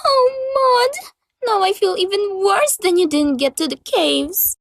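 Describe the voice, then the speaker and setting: A woman's voice: very high voice, a little slow, fairly bright tone, full character voice. A woman voicing a refined, dramatic female pony adventurer in an amateur comic dub. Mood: distraught, apologetic. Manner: theatrical, genteel, effusive. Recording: music behind the voice.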